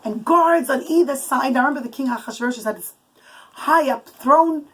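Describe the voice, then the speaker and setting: Higher-voiced woman telling a dramatic bedtime story. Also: brisk, husky. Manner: dramatic, emphatic, with asides to children. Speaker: mother telling a story to her children. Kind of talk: improvised animated bedtime storytelling. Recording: noisy home recording with clicks. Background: young children chattering.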